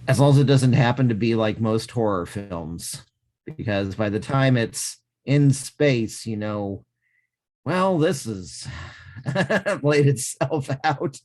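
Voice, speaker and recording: A man co-hosting a casual music podcast, with a voice that swings widely up and down as he jokes. His pitch is mid-range for a man, and his delivery is playful and full of comic asides. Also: animated, slow pace, darkish tone. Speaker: podcast co-host.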